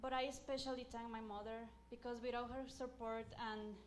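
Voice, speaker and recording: A woman's voice: a little high, fairly even intonation, fairly quick, slight background noise. A young woman from Mexico, nervously presenting her student project at a podium with hesitant fillers. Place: small screening room with audience. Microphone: podium microphone.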